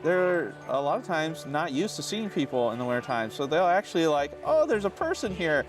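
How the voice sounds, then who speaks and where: A man's voice: fairly high pitch, big pitch swings, brisk, music in the background. A man, a zoo staff member, giving conversational interview answers and explaining things plainly.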